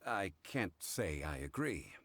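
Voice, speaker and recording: Very deep, composed gentleman. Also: very animated, husky, full character voice. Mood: calm, reasoned. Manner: measured, formal, composed. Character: calm, dignified, erudite gentleman consultant. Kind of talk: video game character acting.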